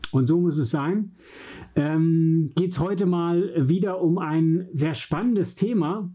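A German man chats casually as a podcast co-host. His voice sits a little high for a man, yet it is mellow and slightly husky. He talks in a joking, self-deprecating way. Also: slow. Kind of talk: casual chat. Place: home studio in an apartment.

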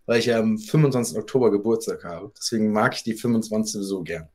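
A man's voice: lowish voice, fast talker, darkish tone. A man speaking as an interview guest, an author telling anecdotes in a conversational way.

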